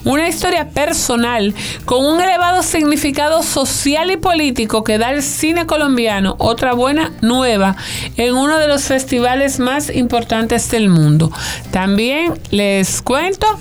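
A woman's voice: sits high, animated, a little slow. A woman presenting film news in a chatty, conversational way, adding jokey asides. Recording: music bed underneath.

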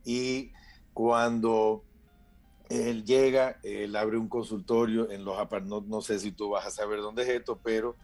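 Low-voiced Dominican man telling stories. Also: little pitch movement, measured pace, a little mellow, faint music behind. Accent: Dominican Spanish. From Dominican Republic. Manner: storytelling, acts out quoted voices. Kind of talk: casual chat.

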